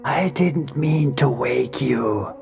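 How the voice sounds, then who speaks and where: An eerie being wearing a man's shape speaks in a slow, gravelly hush, as a male narrator puts it on. It stays calm and measured, drifting into whispers. A horror storybook figure with a creeping, patient menace. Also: mid-range voice, faint music behind, pitched above the performer's own voice. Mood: soothing menace. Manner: soft, measured, slips into hushed whispers. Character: uncanny inhuman being posing as a calm man. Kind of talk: horror audiobook reading.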